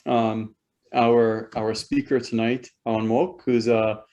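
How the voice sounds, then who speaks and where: A man acting as rabbi and host, introducing a speaker over a video call in a halting way, with many ums and repeated words. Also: mid-range voice, little pitch movement, a little mellow, lo-fi sound. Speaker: rabbi hosting a talk. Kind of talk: hesitant spoken introduction.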